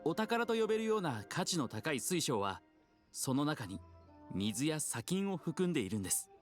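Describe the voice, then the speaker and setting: A man's voice: on the high side, sing-song, fast, soft background music. An actor playing an earnest, eager young man in an anime game, polite and enthusiastic. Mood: excited, explaining. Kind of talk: Japanese anime game acting.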